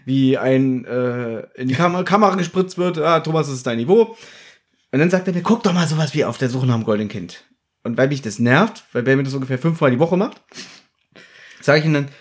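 A man's voice: mid-range voice, animated, fairly quick. A man co-hosting a casual podcast chat about audio dramas, with a teasing, needling manner. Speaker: podcast co-host.

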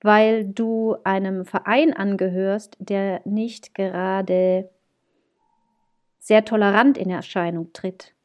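A woman's voice: mid-range voice, steady tone, slow. A woman, hosting a podcast in casual, chatty talk, often laughing at herself. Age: 30s. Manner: chatty and laughing, self-mocking. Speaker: podcast host. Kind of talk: casual chat.

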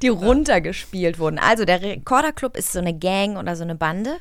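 A woman's voice: lowish voice, animated, brisk. A woman co-hosting a podcast in casual, playful banter. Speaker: podcast co-host. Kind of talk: casual, bantering studio chat.

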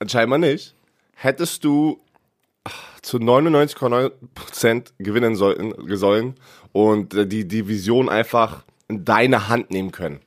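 A man co-hosting a podcast, sick and congested, talking casual sports in animated bursts with stammering restarts. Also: fairly low pitch, moderate pace. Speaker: podcast co-host.

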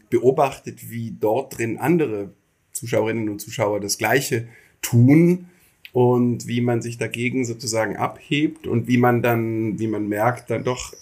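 A man's voice: mid-range voice, a little mellow, breathy edge. A man speaking as an interview guest, explaining things casually and reflectively while searching for his words. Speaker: interview guest, theatre maker.

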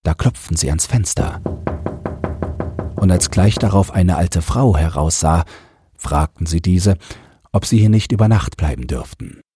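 A man's voice: expressive, measured pace, pauses often, dark tone. A man narrating a fairy tale from a script, as the storyteller of an audio drama. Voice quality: deep, very husky.

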